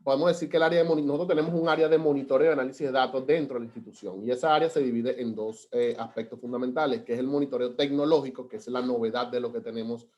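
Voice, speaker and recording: A man's voice: medium pitch, steady tone, racing, few pauses, highs cut off. A man from Venezuela with a Venezuelan Spanish accent, presenting on a video call webinar, talking with many hesitations and restarts. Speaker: government data analyst presenting.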